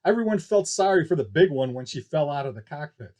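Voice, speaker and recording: A man's voice: fairly high pitch, very animated, fairly quick, darkish tone, pitched above the performer's own voice. A man voicing a cocky, scornful younger star pilot in an audiobook, grumbling and sour. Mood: defensive, sneering. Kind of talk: audiobook character dialogue.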